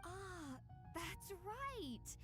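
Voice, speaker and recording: An earnest young woman who dreams of getting into the academy. Her voice is high and friendly, full of hopeful sincerity. Game music plays underneath. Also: very animated, drawn-out words, full character voice. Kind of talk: video game voice acting.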